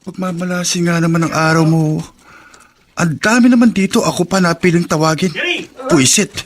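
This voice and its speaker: Radio drama workman, played by a man: reluctant, complaining underling, high-pitched, whiny. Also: normal speed, close to an everyday voice. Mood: grumbling. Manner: hesitant, whiny, grumbling. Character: put-upon, grumbling male construction laborer. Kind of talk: Filipino radio drama acting.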